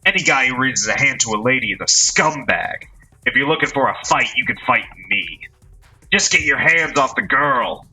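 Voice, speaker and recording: A man voicing a brash male reporter who taunts and talks back quickly. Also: medium pitch, expressive, pushed and bright, slightly rough, soft background music, pitched above the performer's own voice, full character voice, poor audio. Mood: defiant. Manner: taunting, fast-talking.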